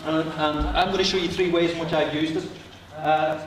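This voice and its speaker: A man's voice: on the high side, steady tone, normal speed. A man with a Northern Irish accent, a teacher giving a short, chatty, joking talk to an audience of teachers. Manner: chatty, joking, self-deprecating. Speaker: teacher presenting at a teacher meetup. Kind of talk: short live presentation to an audience. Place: large lecture hall with an audience. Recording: echoey hall from a distance, crowd noise.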